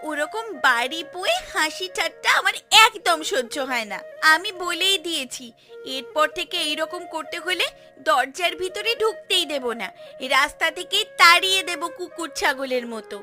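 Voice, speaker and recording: Woman as an emphatic, high-voiced cartoon village wife. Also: expressive, normal speed, sharp tone, faint music behind, heavily acted. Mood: indignant. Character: indignant village wife griping to her husband. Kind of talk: cartoon story voice acting.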